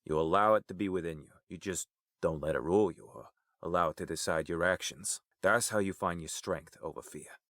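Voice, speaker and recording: An actor playing an easygoing, streetwise, affectionate uncle in an audio drama, talking in a familiar, colloquial way. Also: male voice, low voice, a little fast, fairly bright tone, no echo, clean studio sound. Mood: frank, encouraging.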